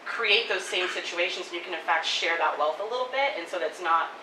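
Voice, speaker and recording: A woman giving quick, animated spoken feedback at a live table reading, thinking aloud with lots of "like"s. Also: fairly low pitch. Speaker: audience member giving feedback. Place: event room. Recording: echoey room, distant mic, faint noise.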